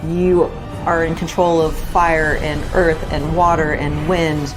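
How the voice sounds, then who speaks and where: A woman's voice: low-pitched, level tone, takes it slowly, poor audio. A woman speaking as a game developer in a promotional interview. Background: music bed.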